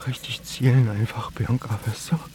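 An actor playing a tender, anxious farm man who murmurs softly to his animal in an intimate German radio play. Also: mid-range voice, steady tone, moderate pace, noisy background, close to an everyday voice. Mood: tender, reassuring.

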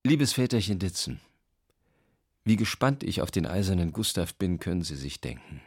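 An actor playing a genial, effusive German publisher of the 1930s in a radio play, reading letters with chatty, old-fashioned courtesy. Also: male voice, deep voice, sing-song, moderate pace, husky, heavily acted. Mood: genial, eager.

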